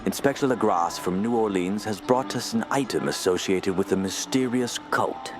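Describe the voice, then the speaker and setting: A man's voice: on the low side, moderate pace, music in the background, close to an everyday voice. A man playing a courteous, scholarly archaeology professor with formal, intrigued speech in a period radio drama.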